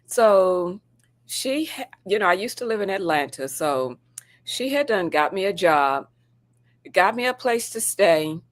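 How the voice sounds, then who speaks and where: A woman's voice: mid-range voice, fairly bright tone. A woman from the United States co-hosting a casual morning show in an informal, storytelling way. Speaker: talk show co-host.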